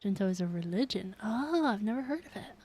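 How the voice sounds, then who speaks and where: A woman's voice: mid-range voice, expressive, brisk, a little mellow, husky. A woman chatting casually on a game livestream, informal and reacting as she plays. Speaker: game streamer.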